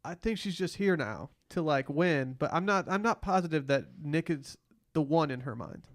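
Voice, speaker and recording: Man chatting casually, slightly high-pitched voice. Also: lively intonation, moderate pace. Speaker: podcast co-host. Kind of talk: casual reality-show recap chat.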